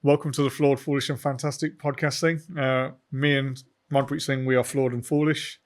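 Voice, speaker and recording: A man hosting a casual podcast interview. He is jokey and informal, filling pauses with ums, and has a middle-pitched voice. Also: little pitch movement, faint music behind. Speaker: podcast host.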